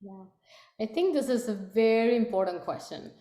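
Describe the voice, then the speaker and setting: A woman's voice: on the high side, expressive, moderate pace, warm tone. Spanish-accented woman in an echoey room. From Latin America. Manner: hesitant, frequent fillers and restarts. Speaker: product leader. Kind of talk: panel conversation.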